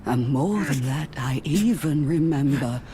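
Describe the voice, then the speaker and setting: A woman's voice: low-pitched, animated, a little slow, a crowd in the background. A woman voicing a bitter, lovelorn old female spider demon in a video game, brooding, menacing and theatrical. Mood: wounded, bitter. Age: older. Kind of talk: video game cutscene acting. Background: dramatic game music.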